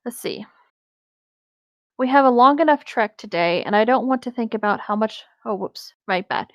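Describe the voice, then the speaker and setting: A woman with a fairly high voice reads a story aloud in a conversational way, dropping into casual banter between passages. The sound is close and dry. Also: animated, moderate pace, a bit bright, no room sound. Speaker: co-host reading an online story aloud.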